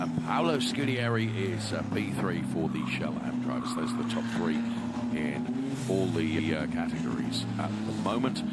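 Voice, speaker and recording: A man giving live race commentary, pausing often on "uh" and rising with excitement at big moments. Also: fairly low pitch, very noisy. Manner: frequent "uh" fillers, excited rises.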